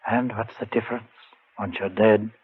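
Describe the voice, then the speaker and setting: A man's voice: fairly low pitch, fairly even intonation, normal speed, husky. A man acting in a 1940s radio drama as a grim, determined avenger, speaking in an earnest, insistent, dramatic way. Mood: skeptical, bitter. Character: grim determined man hunting his brother's murderer. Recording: tinny, muffled 1940s radio recording.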